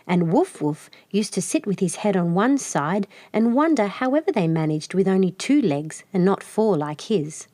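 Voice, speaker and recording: Lady narrating a kids storybook, expressive, medium pitch, really dry recording. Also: no echo. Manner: expressive storytelling with acted character voices.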